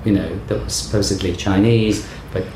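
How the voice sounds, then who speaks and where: A man's voice: deep voice, fairly even intonation, moderate pace. A middle-aged man, a theatre director, talking in a thoughtful, reflective storytelling way during an interview. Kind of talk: interview conversation. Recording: echoey room with faint background noise.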